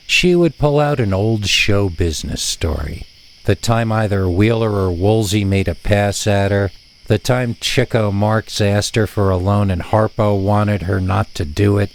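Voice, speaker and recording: A man's voice: lowish voice, creaky, a little mellow. A man narrating a scripted tale as a storyteller.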